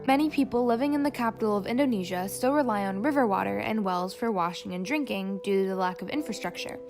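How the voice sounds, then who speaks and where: A woman reading a scripted documentary narration in a steady, informative way. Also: medium pitch, fast. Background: music.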